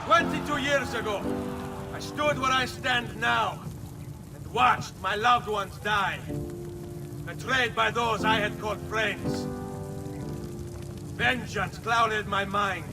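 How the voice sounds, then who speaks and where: An actor playing an earnest Italian nobleman assassin in a video game, making deliberate, declamatory speech with an Italian accent. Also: high-pitched. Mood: impassioned, resolute. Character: impassioned Italian nobleman assassin addressing his people. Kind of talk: dramatic oratory, game cutscene. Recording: loud music over the voice.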